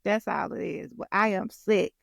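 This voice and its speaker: An American woman co-hosting a podcast in casual, slangy girl talk with a playful, expressive manner. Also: mid-range voice, normal speed, vocal fry, no room sound, clean studio sound. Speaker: podcast co-host and content creator.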